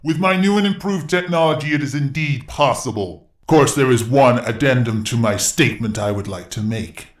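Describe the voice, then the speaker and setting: A man's voice: medium pitch, echoey room, natural voice. A man playing a gloating evil robot overlord in an audio drama, with a grandiose, commanding villain delivery. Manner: slow, grandiose villain delivery with sudden shouts. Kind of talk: fan audio drama acting.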